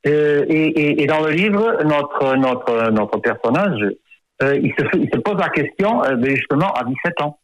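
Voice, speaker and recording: A man's voice: a little high, animated, a little slow, no echo, highs cut off. A man in his fifties, an author born in France, talking in a radio interview and hesitating often, with many fillers and repeated words. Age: 50s.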